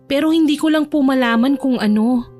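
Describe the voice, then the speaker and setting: A woman playing a caring, high-voiced young granddaughter. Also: brisk, a little mellow, soft background music, close to an everyday voice. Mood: concerned, caring. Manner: polite, affectionate. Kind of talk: Tagalog audio drama acting.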